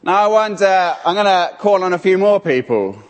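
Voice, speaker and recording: A man's voice: sits high, normal speed. A man preaching an interactive sermon on a handheld mic, playful and calling people up by name. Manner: playful, animated, calls on people. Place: church hall. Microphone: handheld microphone. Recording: hall reverb.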